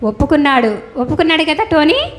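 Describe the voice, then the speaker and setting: A woman's voice: sits high, very animated, fast talker. A woman Sunday school teacher giving children a moral lesson, instructing and asking questions as she talks with puppets. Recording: clear background noise, ambient sound.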